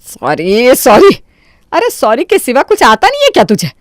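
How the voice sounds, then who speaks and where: A woman voicing a quick-tempered, berating Indian mother-in-law in a Hindi cartoon story. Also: high voice, wildly swooping pitch, dry recording, clean studio sound. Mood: angry scolding. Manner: harsh, exclamatory, berating.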